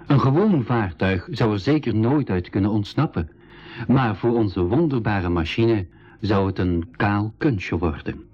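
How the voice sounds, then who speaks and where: An actor playing a travel companion who narrates an adventure radio play in a scripted storytelling style. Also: male voice, lowish voice, darkish tone, breathy edge. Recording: dull 1980s radio recording.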